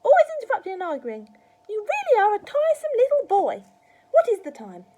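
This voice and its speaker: A woman voicing a cantankerous, tyrannical elderly grandmother from a children's storybook, shouting and scolding shrilly. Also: extremely high pitch, huge pitch swings, a little fast, pitched above the performer's own voice, heavily acted. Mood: bossy, scolding. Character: cantankerous, tyrannical old grandmother, a shrieking hag.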